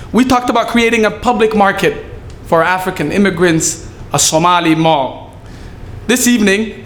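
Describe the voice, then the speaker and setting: A man giving a prepared speech at a community event, delivered earnestly and measured, with some halting fillers. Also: high-pitched. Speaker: city staffer giving a speech. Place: event hall. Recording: echoey room with faint ambience.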